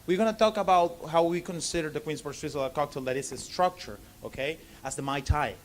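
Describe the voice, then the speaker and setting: A man from Argentina with a Spanish accent, giving an informal lecture in halting English and often checking in with "okay?". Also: fairly high pitch. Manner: halting non-native English, frequent "okay?" check-ins. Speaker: bartender giving a seminar. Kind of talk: informal lecture to a live audience.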